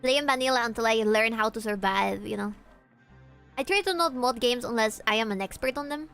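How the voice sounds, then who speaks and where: Young woman, native Spanish speaker, higher pitch, animated casual game-stream chatter, faint music behind. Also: fairly quick, vocal fry, clean tone. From Peru. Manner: chatty and animated, swears freely, reacts to chat.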